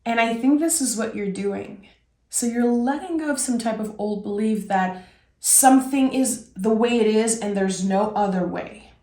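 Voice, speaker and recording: A woman from Québec giving a casual tarot reading, her voice raspy as she gets over a cold, talking in a reflective, meandering way. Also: on the high side, unhurried, mellow, roomy sound. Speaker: tarot reader.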